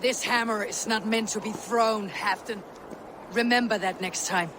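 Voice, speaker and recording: A woman's voice: mid-range voice, steady tone, a little slow, lightly acted. A woman playing a steadfast Viking warrior in a video game, speaking firmly and bluntly. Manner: firm, blunt, commanding. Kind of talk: dramatic video game acting. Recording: clear background ambient noise.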